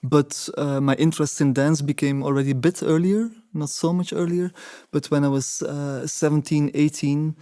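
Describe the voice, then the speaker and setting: A man in his forties whose first language is Dutch, talking through his past in a measured, reflective way. He pauses and restarts often as he searches for words. His voice is mellow and even, recorded dry and close. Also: fairly high pitch, no room sound. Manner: thoughtful, many hesitations and restarts. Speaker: interviewee, choreographer. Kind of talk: reflective interview answers.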